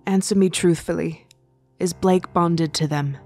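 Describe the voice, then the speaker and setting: A woman's voice: fairly low pitch, steady tone, measured pace, a little mellow, faint music behind. A woman playing a remorseful, anxious young princess in a fantasy audio drama, earnest and restrained. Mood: guarded worry.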